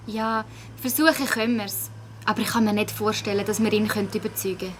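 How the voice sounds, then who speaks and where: A woman's voice: medium pitch, steady tone, brisk, a little hiss or hum, lightly acted. A woman with a Swiss German accent playing a cautious, resigned child in a children's audio drama. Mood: doubtful.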